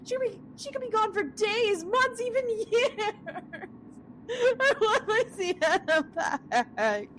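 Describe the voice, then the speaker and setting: A woman's voice: extremely high pitch, very animated, slow pace, fairly bright tone, slight background noise, full character voice. A woman playing an impassioned, devoted magical best friend in a fantasy comedy audio drama, with an emotional, pleading manner. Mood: distraught.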